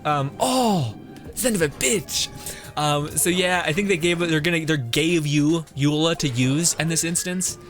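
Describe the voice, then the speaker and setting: A man's voice: a little high, a little slow, fairly bright tone. A young man giving casual gameplay commentary as a streamer, rambling, interrupting himself and swearing casually. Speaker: game streamer. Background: game music.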